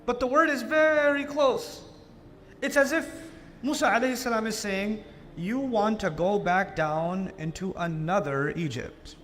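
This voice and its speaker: A man from Pakistan giving an animated Islamic lecture to a live audience, often putting on mocking voices for the people he quotes. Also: high voice, a little slow.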